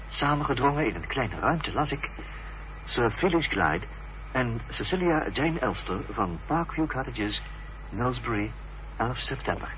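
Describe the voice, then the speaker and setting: Low-voiced, courteous gentleman investigator. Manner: polite, measured, formal. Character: courteous, inquisitive gentleman investigator narrating his own search. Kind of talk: radio drama dialogue and first-person narration. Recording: muffled old radio play recording, faint hiss and hum.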